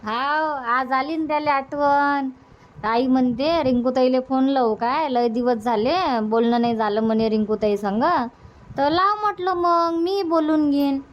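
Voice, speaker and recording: An actress as a high-voiced village mother. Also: measured pace, muffled recording. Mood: chatty. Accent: Varhadi Marathi. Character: homely middle-aged village mother and aunt, hospitable. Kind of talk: cartoon comedy dialogue.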